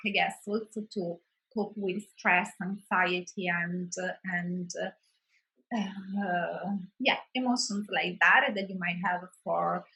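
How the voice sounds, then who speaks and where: A woman's voice: on the low side, sharp tone, rough recording. A woman in her thirties from Italy with an Italian accent, a wellbeing consultant speaking as an interview guest in a thoughtful way, with many restarts. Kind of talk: conversational interview.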